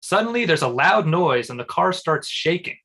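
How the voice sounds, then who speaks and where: A man's voice: fairly high pitch, very animated, normal speed, gravelly, sharp tone, slightly rough. A man reading screenplay stage directions as scripted narration at a comedy table read.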